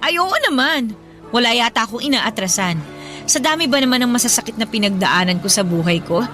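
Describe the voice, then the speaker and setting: An actress playing a feisty, bubbly young office worker on a date in a Filipino audio drama, speaking expressively with a Filipino accent. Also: female voice, fairly high pitch, brisk, soft background music. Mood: playful, teasing. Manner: playful, sassy, expressive. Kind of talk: Tagalog audio drama dialogue.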